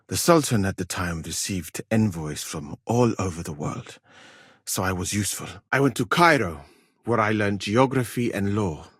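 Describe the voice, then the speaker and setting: Learned medieval physician, lowish voice, slightly husky, dignified, formal, dry wit, video game dialogue. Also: male voice, dry recording. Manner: measured, polite, formal, articulate.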